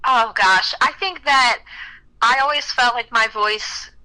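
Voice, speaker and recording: A woman's voice: fairly high pitch, takes it slowly, bright, forceful tone, low-quality recording. A young woman from Ohio, a country singer, chatting with enthusiasm as an interview guest and laughing easily. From Cleveland, Ohio. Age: young adult. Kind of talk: casual interview conversation.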